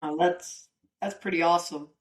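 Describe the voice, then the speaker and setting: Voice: medium pitch, steady tone, moderate pace. A host asking short, upbeat interview questions in a casual way.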